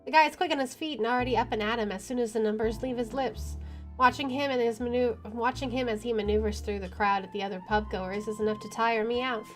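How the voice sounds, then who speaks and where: A woman streaming a horror visual novel, reading it aloud with animated commentary. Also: fairly high pitch, fast talker. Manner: animated, laughs between lines. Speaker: let's-play streamer. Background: game music.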